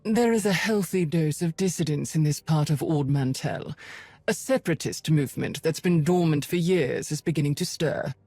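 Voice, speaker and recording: A composed, menacing spymaster in a video game. She speaks formally and with measured authority in a very dry studio sound, every phrase a quiet threat. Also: sits low, no echo, faint music behind. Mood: cold, accusing. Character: cold, commanding Imperial spymaster, composed and menacing.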